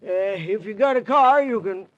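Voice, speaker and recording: A man's voice: high-pitched, unhurried, fairly bright tone, muffled recording. A male actor playing a fussy, folksy old station agent with an American accent, stammering and repeating himself, in 1940s radio style. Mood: rambling, hesitant. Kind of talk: 1940s radio character acting. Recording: noisy old radio recording with clicks.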